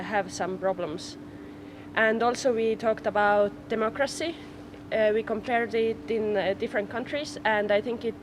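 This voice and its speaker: A young woman, speaking in a casual interview and hesitating with filler sounds. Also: medium pitch. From Estonia. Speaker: youth rally participant. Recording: noisy outdoor recording.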